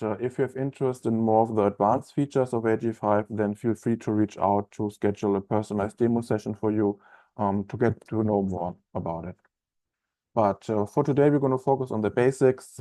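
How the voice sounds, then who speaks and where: German man with a dark voice. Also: fairly low pitch, dry recording. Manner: friendly, chatty, many fillers and restarts. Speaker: webinar trainer. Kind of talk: live software training webinar. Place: office room, indoors.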